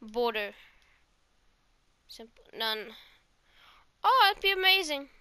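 A woman's voice: high-pitched, big pitch swings, takes it slowly, sharp tone, no room sound. A woman giving casual, rambling let's play commentary as a gaming host, swearing often.

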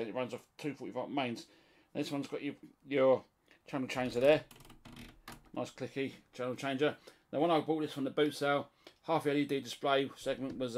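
British man giving a casual show-and-tell. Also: medium pitch. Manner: rambling, colloquial. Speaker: radio hobbyist presenter. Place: small indoor room at home, radio shack.

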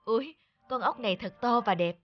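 An actress playing a blunt, pushy middle-aged village woman in an animated folk tale, matter-of-fact and scornful. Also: on the high side, normal speed, lo-fi sound, natural voice. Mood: coaxing, insistent. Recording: music under the voice.

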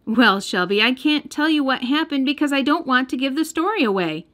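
An American woman reading a storybook aloud for young children in a gentle, careful storytelling manner. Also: high voice, lively intonation, a little fast. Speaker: children's storybook reader and host. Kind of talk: scripted read-aloud narration. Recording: music under the voice.

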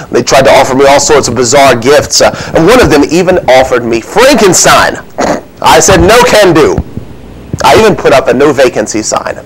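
A man's voice: on the high side, slight background noise, voice pushed up, low-quality recording. A man performing a comic monologue as a flustered, defensive innkeeper from biblical Bethlehem, rambling indignantly through his excuses.

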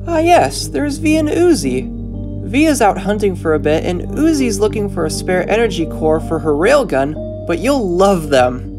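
A woman's voice: medium pitch, very animated, moderate pace, music in the background. A woman voicing a friendly, awkward young male killer robot in a comic dub, polite, hesitant and eager to please. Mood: cheerful. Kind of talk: comic dub character acting.

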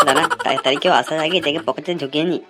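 A cheeky, mischievous cartoon schoolboy, voiced by a woman. The speech is quick and curious, with music playing behind. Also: low-pitched, steady tone, close to an everyday voice. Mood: scheming, gleeful. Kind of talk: cartoon voice acting.

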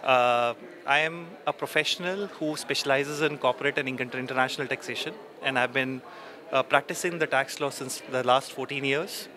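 A man's voice: medium pitch, fairly even intonation, normal speed. A man in his thirties, a tax advisor, speaking fluently with many "you know"s in an event interview on a handheld mic. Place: busy indoor event, press backdrop. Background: crowd chatter.

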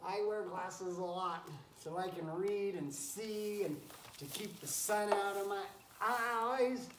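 A man's voice: high-pitched, takes it slowly, a bit bright. Man reading to children in an echoey barn. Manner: chatty, encouraging, often interrupting himself with asides to animals. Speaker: teacher reading a picture book aloud. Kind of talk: casual read-aloud to children, with asides. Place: wooden barn pen with straw, among goats and chickens. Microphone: camera a few feet away. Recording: roomy, noisy barn, distant camera mic.

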